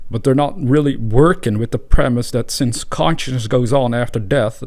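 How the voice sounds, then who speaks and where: A man with a Dutch accent, a native Dutch speaker, hosting a spiritual podcast in a calm, reflective monologue with pauses and fillers. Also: medium pitch. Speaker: podcast host.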